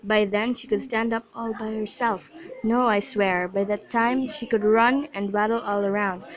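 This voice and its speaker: A woman's voice: mid-range voice, steady tone, normal speed, close to an everyday voice, poor audio. A woman playing a fond, bawdy old nurse in an amateur radio drama, chatty and rambling as she repeats herself. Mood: reminiscing. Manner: chatty, repetitive storytelling.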